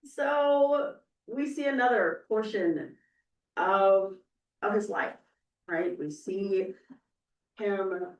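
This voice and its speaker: A woman's voice: mid-range voice, very slow, pauses often. A woman giving explanatory commentary as a presenter, often checking in with her listeners as she goes. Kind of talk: explanatory public talk. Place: live event in a reverberant room. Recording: echoey room, far from the mic.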